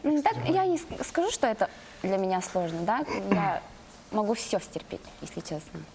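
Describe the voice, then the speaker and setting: An actress with a Yakut accent answering interview questions hesitantly, with many fillers. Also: female voice, medium pitch, big pitch swings, moderate pace, a crowd in the background.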